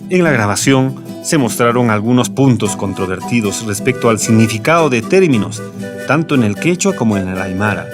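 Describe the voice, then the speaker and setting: A man's voice: medium pitch, expressive, normal speed. A man reading scripted documentary narration. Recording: music playing behind the voice. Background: guitar music.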